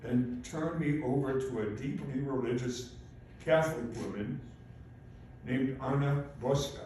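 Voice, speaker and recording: A man's voice: mid-range voice, unhurried, darkish tone. A man in his eighties from Poland, a native Polish speaker, giving a prepared, formal speech of personal testimony. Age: 80s. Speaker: invited speaker, Holocaust survivor. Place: large echoing room at a public event. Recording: very echoey hall, far off, faint noise.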